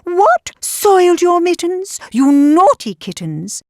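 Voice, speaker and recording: A woman's voice: mid-range voice, animated, slow, a little mellow, no room sound. A woman voicing a stern but fond mother cat, exclaiming and admonishing in a nursery rhyme. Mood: scolding.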